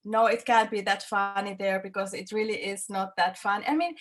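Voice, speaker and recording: Woman director, 30s, English, halting answers full of fillers. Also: mid-range voice, little pitch movement. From Finland. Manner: halting, many fillers and restarts. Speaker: film director. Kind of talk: festival interview, casual answers.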